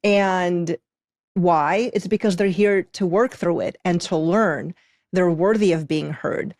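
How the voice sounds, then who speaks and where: A woman's voice: on the low side, takes it slowly, darkish tone, dry recording, clean studio sound. A woman from Moldova who moved to the US as a child, explaining her practice as a podcast guest in an emphatic way with check-in questions. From Moldova, moved to US at eight. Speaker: podcast guest, intuitive soul contract reader. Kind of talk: conversational explaining in an interview.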